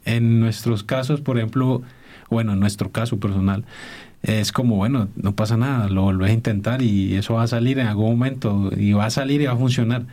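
A man's voice: fairly low pitch, steady tone, a little fast, mellow. A man speaking as an interview guest and instructor, explaining casually and often searching for words.

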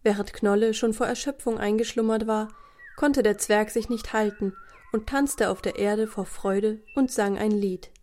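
A woman's voice: medium pitch, fairly even intonation, moderate pace, a little mellow. A woman narrating a children's radio play as a scripted story.

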